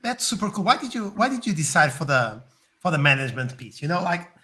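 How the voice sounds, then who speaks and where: A man's voice: a little high, sing-song, fast talker, sharp tone. A man co-hosting a podcast, chatting casually and asking questions. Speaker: podcast co-host. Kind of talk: casual interview chat.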